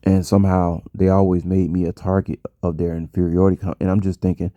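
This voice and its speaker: A man's voice: deep voice, level tone, brisk, mellow, no room sound, highs cut off. An American man speaking in a casual personal monologue to his audience, rambling and repeating phrases for emphasis. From United States. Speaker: vlogger.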